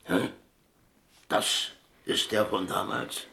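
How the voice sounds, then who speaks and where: An actor playing a stubborn, wry working-class ex-boxer in a German radio drama, speaking in a dry, laconic, colloquial way. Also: male voice, fairly low pitch, fairly even intonation, takes it slowly, vocal fry, pushed and bright, slight background noise, exaggerated voice. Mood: gruff.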